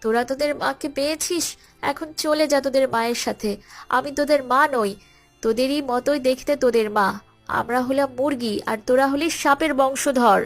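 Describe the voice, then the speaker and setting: A woman voicing an anxious, protective cartoon mother hen who scolds fretfully but has a soft heart. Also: high voice, normal speed, soft background music, natural voice. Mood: sad, resigned. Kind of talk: children's cartoon voice acting.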